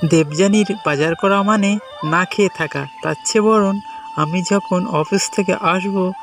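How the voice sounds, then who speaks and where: Guy playing a husband in a cartoon, high voice, teases his wife but cares, music under it. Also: unhurried. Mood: teasing. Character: teasing, exasperated but caring Bengali husband. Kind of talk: amateur cartoon story voice acting.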